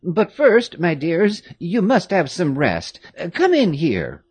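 A narrator voicing a fussy, fiercely loyal old woman who once nursed the family, high-pitched and chattering in exclamations. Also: wildly swooping pitch, slow, a little mellow, husky, dry recording, heavily acted. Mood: fussing, warm. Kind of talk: audiobook character reading.

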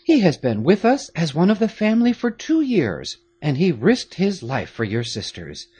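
A man's voice: fairly high pitch, huge pitch swings, moderate pace, a little mellow, slightly rough, pitched above the performer's own voice, exaggerated voice. A man voicing a stern, commanding aristocratic French father in an audiobook, speaking firmly. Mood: grave. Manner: firm, authoritative. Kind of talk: audiobook character reading.

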